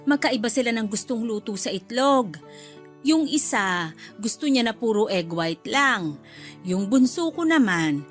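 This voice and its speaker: An actress playing a brisk, chatty middle-aged mother-in-law with a Filipino accent in a radio drama. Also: a little high.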